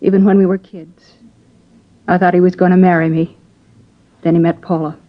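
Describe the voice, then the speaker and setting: A woman playing a defiant, sharp-tongued woman who killed the man she loved, in a 1950s radio drama. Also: lowish voice, little pitch movement, normal speed, natural voice. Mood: confessing. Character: bitter middle-aged woman, killer of jilted love. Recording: dull old radio broadcast.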